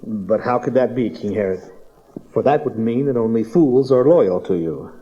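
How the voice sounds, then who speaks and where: An actor playing a polite, dryly witty travelling wise man in a dramatized audio Bible, with a courteous, measured manner. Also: medium pitch, expressive, husky, dry recording. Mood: dry courtesy. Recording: dull cassette tape transfer.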